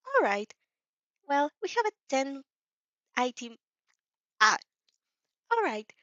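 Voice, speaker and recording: A woman voicing a friendly, polite female shop assistant in a story, helpful and slightly halting. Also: high voice, sing-song, a bit bright, no echo, pitched above the performer's own voice, exaggerated voice. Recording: dry, slightly dull lo-fi recording.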